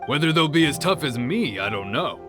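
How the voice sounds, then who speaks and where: A calm, humble fighter. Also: male voice, on the high side, brisk. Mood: reflective. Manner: calm, plain-spoken, sincere. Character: earnest male tournament fighter, humble. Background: game music.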